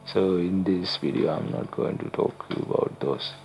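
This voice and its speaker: A young man from Sri Lanka whose first language is not English, hosting a screen-recorded coding tutorial in halting speech with frequent fillers and self-corrections. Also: low-pitched, vocal fry, darkish tone, faint music behind. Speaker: channel host, tutorial maker.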